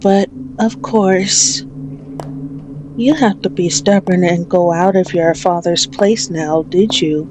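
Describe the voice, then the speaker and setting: A woman playing a sadistic, possessive female mafia boss in an ASMR roleplay, mocking and menacing, with drawn-out taunting speech. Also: noisy background. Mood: bitter, menacing. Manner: slow, taunting, drawn-out with frequent low chuckles.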